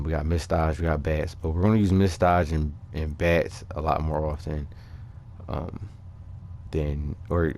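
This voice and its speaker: A man giving casual, unscripted game commentary, thinking aloud in a halting way. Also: a bass voice, a little mellow. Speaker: gaming video creator.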